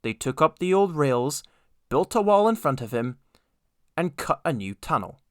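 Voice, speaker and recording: A man narrating a scripted children's story as an audiobook, expressive and deliberate in his storytelling. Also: fairly high pitch, no echo, clean studio sound.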